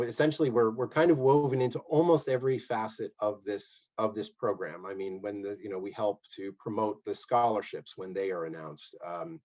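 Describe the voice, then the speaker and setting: A man giving a friendly, upbeat presentation on a conference call, with frequent fillers. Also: lowish voice. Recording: dull, muffled call audio.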